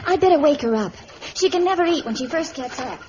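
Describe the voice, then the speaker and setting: Gentle, anxious, high-voiced young woman. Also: expressive, fast. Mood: gentle, concerned. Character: gentle, caring young woman traveler, anxious twin sister. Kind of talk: old radio adventure serial acting. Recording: muffled, noisy vintage radio recording.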